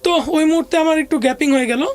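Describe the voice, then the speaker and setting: A woman's voice: high-pitched, racing. A woman with a Bangladeshi accent recounting her life story conversationally as an interview guest, mixing in English words. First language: Bengali.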